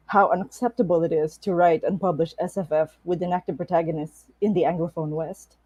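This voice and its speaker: Mellow, husky woman giving a speech. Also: mid-range voice, no room sound, lo-fi sound. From Philippines. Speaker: award winner, writer. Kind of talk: prepared acceptance speech.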